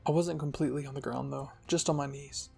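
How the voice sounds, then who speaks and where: A man's voice: medium pitch, steady tone, fast talker, vocal fry, darkish tone, slightly rough. A man narrating a scripted fan-fiction story dramatically, as the host of a storytelling channel. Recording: music behind the voice.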